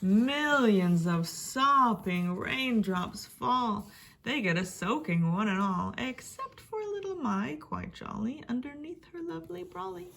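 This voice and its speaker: A woman storyteller giving a dramatic reading of a rhyming picture book, expressive, with hushed and emphatic passages. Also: a little high, slow, creaky. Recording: faint background noise.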